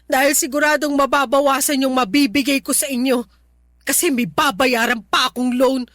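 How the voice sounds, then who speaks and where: A resentful, dutiful daughter in a Filipino radio drama, with a very high, bright voice. She speaks with a Filipino accent and pleads emotionally, like someone who has given too much to her family. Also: steady tone, normal speed, no echo, clean studio sound. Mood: subdued, resigned.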